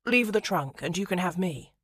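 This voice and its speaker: A woman's voice: lowish voice, lively intonation, moderate pace, fairly bright tone. A woman voicing a stern, commanding young aristocrat in an audiobook, speaking in firm, clipped commands.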